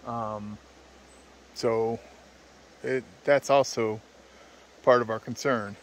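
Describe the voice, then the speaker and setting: A man's voice: medium pitch, slow, rough recording. A man in his thirties talks casually and a little hesitantly to a handheld phone as a travel vlogger at a forest campsite. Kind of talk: casual narration to camera. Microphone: handheld phone at arm's length. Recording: steady outdoor ambient noise.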